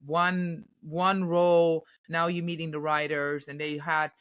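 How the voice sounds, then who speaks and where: A woman's voice: sits low, flat delivery, a little slow, clear, resonant tone, no echo. German-accented woman, dry close sound. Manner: chatty, many fillers and restarts. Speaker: actress, podcast guest. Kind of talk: casual interview answers.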